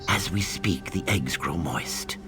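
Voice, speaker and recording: An actor playing a solemn male reptilian keeper of sacred eggs in a fantasy game, with breathy, deliberate delivery. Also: sits low, lively intonation. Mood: solemn, urgent. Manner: slow, grave, deliberate. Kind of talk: fantasy video game dialogue. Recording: game music behind the voice.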